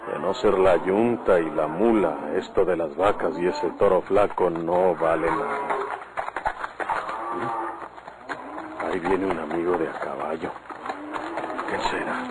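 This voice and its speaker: Wary ranchman, low slow voice, rural northern Mexican accent, laconic, loud noise and cattle, old radio serial. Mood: musing. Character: wary, unhurried ranch hand, future bandit. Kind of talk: old-time radio drama acting.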